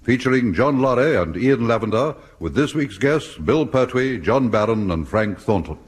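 A man's voice: mid-range voice, lively intonation, a little hiss or hum. An English man reading formal, solemn scripted narration as a vintage radio announcer in a newsreel style. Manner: solemn, measured.

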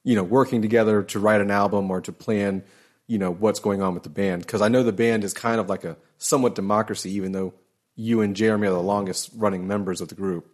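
A man hosts a casual interview in a fairly low voice. His questions wander, full of you know and like. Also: fairly even intonation, fast. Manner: rambling, chatty, heavy on fillers like you know and like. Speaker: podcast interviewer.

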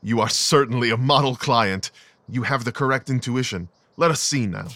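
A man playing a brilliant, coolly rational gentleman detective in an audio drama, speaking in a precise, clipped, analytical way. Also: medium pitch, normal speed, dry recording, close to an everyday voice. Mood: brisk, analytical.

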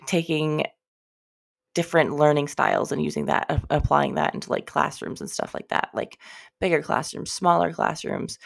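Young American woman, stuffy and nasal from a cold, heavy vocal fry, loose rambling podcast host. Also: sits low. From United States. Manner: rambling, chatty, swears casually, many fillers. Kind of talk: casual solo podcast monologue.

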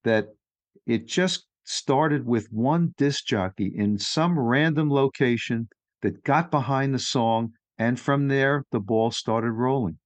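A man's voice: mid-range voice, no echo. A man from New York hosting a podcast interview, chatty and opinionated, asking leading questions. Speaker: podcast host.

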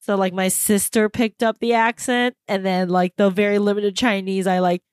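Brief: chatty woman, mid pitch, casual film podcast, dry mic. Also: flat delivery, moderate pace, clear, resonant tone, no echo. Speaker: podcast co-host.

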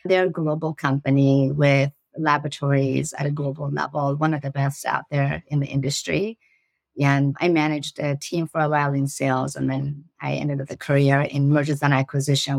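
A woman's voice: extremely low pitch, a little fast, gravelly, dry recording. A woman in her forties from Iran with a slight Iranian accent, answering interview questions earnestly and laughing easily. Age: 40s. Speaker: guest, startup CEO.

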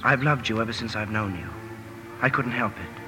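A man's voice: lowish voice, moderate pace, music in the background, lightly acted, low-quality recording. An actor playing an ardent, impetuous young man in love, emphatic and pleading, in a 1950s radio drama.

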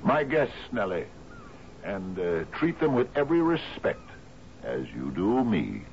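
A man's voice: on the low side, huge pitch swings, a little slow, darkish tone, heavily acted. An actor playing a genial but domineering sea captain in a radio drama, hearty and lordly, repeating his phrases. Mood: delighted, insistent. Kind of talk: old-time radio drama acting. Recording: muffled, noisy old radio broadcast.

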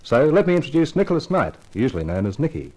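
A man's voice: mid-range voice, big pitch swings, quick pace, loud music behind the voice. A man hosting a scripted radio mystery, introducing it in a formal showman's manner. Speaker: author-host introducing the story.

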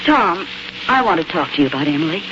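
Dry married older sister, arch woman's delivery, lively intonation, 1940s radio drama, noisy muffled recording. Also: lowish voice. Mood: concerned. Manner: wry, arch, brisk.

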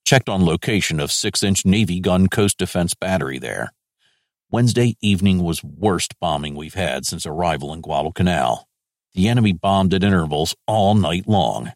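A man's voice: low-pitched, expressive, moderate pace, no echo, clean studio sound. A man playing an American major general of the Second World War, reading his war diary in clipped, terse phrases. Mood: matter-of-fact.

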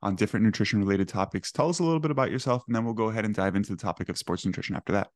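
Podcast host guy, upbeat, keeps saying right?, lowish voice, dry sound. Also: racing, dry recording. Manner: upbeat, peppers talk with "right?". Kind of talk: casual podcast chat.

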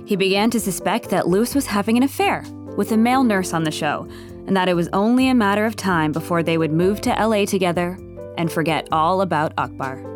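A woman's voice: mid-range voice, brisk. A woman delivering scripted third-person story narration as the narrator of an audio drama. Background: music.

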